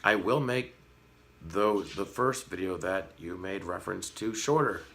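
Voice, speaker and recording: A man in his thirties with a slightly hoarse voice, talking frankly and earnestly to his viewers in a casual vlog, with a light sarcastic edge. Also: low-pitched, measured pace, a bit bright. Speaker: fish-keeping vlogger. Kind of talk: casual talk to camera.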